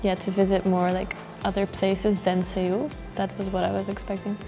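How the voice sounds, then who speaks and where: A woman from the Netherlands, giving relaxed, friendly interview answers. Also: medium pitch, normal speed, music in the background. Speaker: trip participant.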